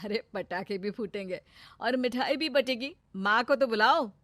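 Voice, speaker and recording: A woman voicing a young village man, a proud son and husband in an animated story, recorded dry. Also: a little high, unhurried, no echo. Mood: cheerful.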